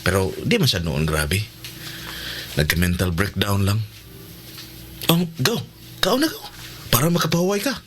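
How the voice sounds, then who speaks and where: Friendly, animated low-voiced cousin. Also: male voice, normal speed, pauses often, a little hiss or hum. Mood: friendly, explaining. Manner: animated, casual. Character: friendly, hospitable young male cousin, reassuring. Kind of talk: radio drama acting.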